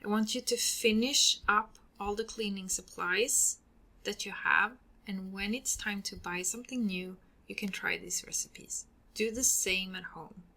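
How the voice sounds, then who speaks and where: A woman from Sweden with a Swedish accent, hosting a solo podcast and giving earnest advice, often trailing off into breathy ends. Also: medium pitch, a little slow, sharp tone. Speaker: podcast host.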